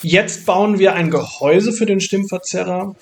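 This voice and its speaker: Higher-voiced man with a gravelly edge. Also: normal speed, slight background noise. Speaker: maker narrating a build video. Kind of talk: casual tutorial narration.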